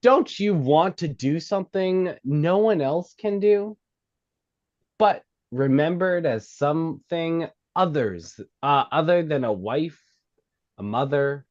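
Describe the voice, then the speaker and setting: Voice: mid-range voice, expressive, slow, darkish tone, lo-fi sound. An actor at a script table read playing a blunt, insistent comedy club worker, emphatic and stumbling over lines. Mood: earnest, forceful.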